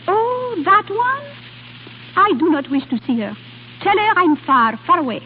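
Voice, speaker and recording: A woman's voice: very high-pitched, sing-song, measured pace, exaggerated voice. An actress playing a proud, volatile young Frenchwoman with a French accent in an old radio drama. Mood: politely evasive. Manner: volatile, dramatic, slightly unidiomatic English. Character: fiery young Frenchwoman, proud former cabaret performer. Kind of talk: 1940s radio acting. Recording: tinny, noisy old radio broadcast.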